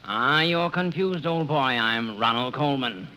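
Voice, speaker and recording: Slow, genteel gentleman. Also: medium pitch, expressive. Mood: genteel, musing. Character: suave, unhurried English gentleman horse bettor. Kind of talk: 1950s radio comedy acting. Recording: noisy old radio recording.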